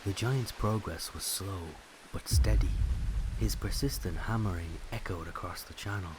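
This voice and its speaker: A man's voice: sits low, moderate pace, noisy background. A man giving scripted storytelling narration of a mythic legend.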